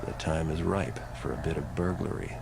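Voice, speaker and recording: An actor playing a cynical master thief in a video game, narrating in a dry, deadpan, measured way. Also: male voice, extremely low pitch, creaky, background noise. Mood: cool, sardonic. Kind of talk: scripted noir game narration.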